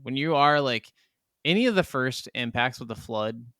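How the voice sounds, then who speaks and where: A man's voice: mid-range voice, lively intonation, normal speed, a bit bright, smooth, no echo, clean studio sound. A man co-hosting a podcast, chatting casually about video games in a rambling, enthusiastic way. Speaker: podcast co-host.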